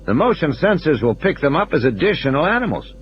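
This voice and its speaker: Man acting a brisk, higher-pitched control room engineer. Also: sing-song, lo-fi sound, a little hiss or hum. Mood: explaining. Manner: explanatory, technical, assured. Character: male control room engineer, briskly explaining, matter-of-fact. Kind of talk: audio play character dialogue.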